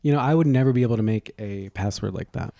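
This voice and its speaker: A man's voice: fairly low pitch, fast talker, creaky, dark tone, dry recording. A man co-hosting a podcast in casual comedic chat, with dry, snarky joking. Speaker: podcast co-host.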